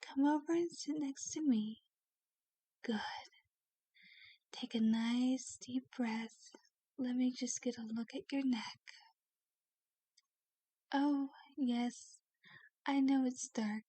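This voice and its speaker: Casting: doting vampire mistress, played by a woman, gentle and refined, lightly high voice, very slow soothing delivery for ASMR audio drama. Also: no echo. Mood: soft, soothing. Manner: gentle, soothing, genteel, coaxing. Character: kindly, doting female vampire, genteel mansion owner. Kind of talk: ASMR roleplay, soft scripted acting.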